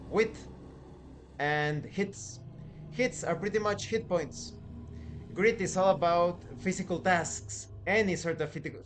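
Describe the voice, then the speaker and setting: A man presenting a tabletop game review as channel host, deliberate and emphatic, pausing and stretching key words. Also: high-pitched, background noise. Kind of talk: scripted-sounding review presentation.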